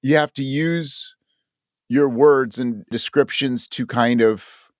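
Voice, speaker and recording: A man's voice: medium pitch, slow pace, no echo, studio quality. A man giving thoughtful, reflective answers as an interview guest, searching for his words as he explains. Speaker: podcast guest, audio drama creator.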